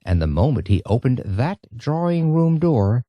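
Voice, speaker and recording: A man narrating an audiobook in a dramatic, expressive storytelling style. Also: medium pitch, unhurried, dry recording, dull audio.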